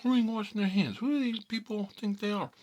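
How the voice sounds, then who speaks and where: A man playing an exasperated mob boss in a comedy monologue, rambling in tough-guy slang and repeating himself, with a husky voice. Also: high voice, brisk, darkish tone, close to an everyday voice. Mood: indignant storytelling. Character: exasperated mob boss telling family stories. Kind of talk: rambling comedic storytelling in character, between whispers and outbursts.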